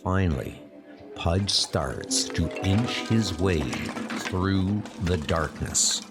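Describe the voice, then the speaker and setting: A man with a lowish voice narrates horror in a dramatic scripted style. His delivery is slow and swings widely in pitch, with music playing behind him. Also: lively intonation.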